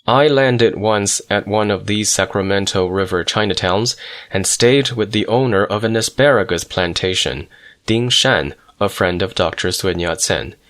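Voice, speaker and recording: Low-voiced man narrating a memoir. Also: moderate pace, fairly bright tone. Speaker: audiobook narrator.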